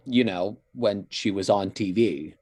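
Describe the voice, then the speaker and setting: A man reading the part of an excited relative revealing a family secret at an online table read, sounding eager. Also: fairly low pitch, unhurried.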